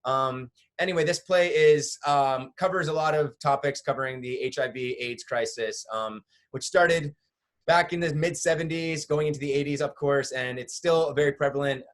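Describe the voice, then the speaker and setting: A man hosting an online theatre company, chatty and full of fillers, introducing a virtual play reading from home. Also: mid-range voice. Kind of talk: casual introduction. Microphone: home computer mic on a video call.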